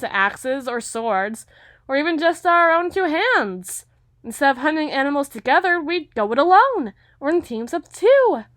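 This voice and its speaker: A woman's voice: fairly high pitch, a bit bright, no room sound, clean studio sound. A woman playing a condescending, possessive aristocratic huntress in a dramatic audio roleplay, speaking in formal, emphatic, theatrical phrases.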